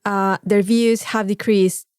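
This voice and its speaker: A Mexican-accented woman talks casually as a podcast co-host, her voice at an ordinary middle pitch. She rambles informally and swears freely. The sound is dry, with very little room echo. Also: fairly even intonation, slow pace, darkish tone, smooth. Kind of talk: casual chat.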